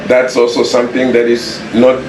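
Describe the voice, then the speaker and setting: A Kenyan man speaks conversationally as an interview guest, at a middle pitch. He is earnest and repeats phrases to make his point. The recording is echoey, with some faint noise behind him. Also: completely flat delivery, normal speed. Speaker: interview guest, property developer. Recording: echoey, distant mic, faint background noise.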